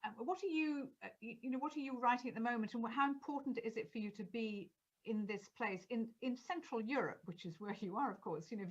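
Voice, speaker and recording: A woman hosting an interview, asking long, rambling questions full of fillers and hesitations. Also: medium pitch. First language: English. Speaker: interviewer host. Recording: dull lo-fi audio.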